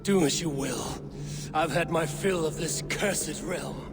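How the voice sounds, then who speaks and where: An actor playing a stoic, commanding Viking leader in a video game, grave and measured, with some weariness. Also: male voice, on the high side, loud music behind the voice, close to an everyday voice. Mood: weary. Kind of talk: dramatic video game acting.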